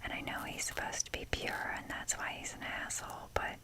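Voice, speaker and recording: A young woman hosting an ASMR video in a breathy, unvoiced whisper, rambling casually with a self-deprecating manner. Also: brisk, bright, forceful tone.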